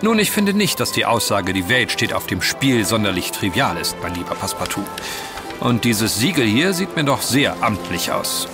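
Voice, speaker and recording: An unruffled gentleman adventurer with dry wit in an audio drama. His mid-pitched voice has lively melody over clear music. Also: normal speed. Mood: dryly confident. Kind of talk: German audio drama acting. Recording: music behind the voice.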